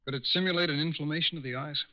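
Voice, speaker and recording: An actor playing an inquisitive, businesslike agent asking short probing questions, in 1940s radio style. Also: male voice, a little high, rapid-fire, dull audio, close to an everyday voice. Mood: intent. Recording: very noisy band-limited 1940s radio recording.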